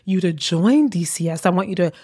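A woman hosting her podcast, a coach making an energetic, persuasive pitch, her voice slightly hoarse and tagging lines with "right?". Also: fairly quick. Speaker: podcast host and business coach.

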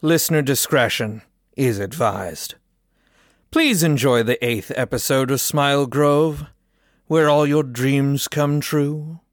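Male announcer for scripted narration, medium pitch, dry close recording. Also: takes it slowly, no room sound.

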